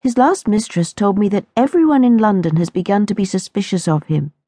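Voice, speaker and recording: A woman's voice: medium pitch, fairly quick, dark tone, no room sound, clean studio sound, voice pushed up, lightly acted. A woman voicing a lonely, old-fashioned young noble girl who speaks in a prim, formal, earnest way.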